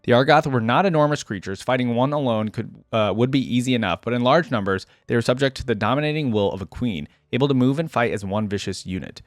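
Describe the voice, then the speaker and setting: A man's voice: mid-range voice, expressive, fast, hardly any pauses, no room sound, studio quality. A man reading fantasy lore aloud as a reaction host, with informal commentary in a casual style.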